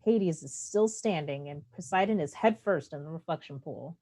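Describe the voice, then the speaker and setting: A woman's voice: low-pitched, lively intonation, normal speed, mellow. A woman reading stage directions as the narrator of a play, in scripted narration.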